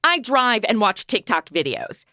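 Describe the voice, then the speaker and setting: A woman's voice: fairly high pitch, big pitch swings, a little slow, sharp tone, no echo, clean studio sound, full character voice. An actress playing a brash, carefree young woman in a comedy audio drama, slangy and bossy. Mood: self-assured.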